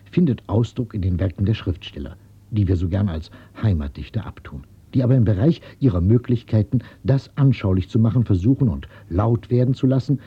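A man narrating a radio feature, reading a script in a formal, measured way. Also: lowish voice, husky, muffled recording.

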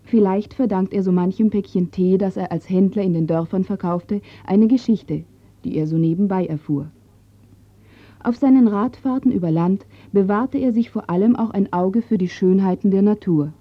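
A woman narrating a radio feature, reading a script in a calm, even way. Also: medium pitch, normal speed, dry recording, muffled recording.

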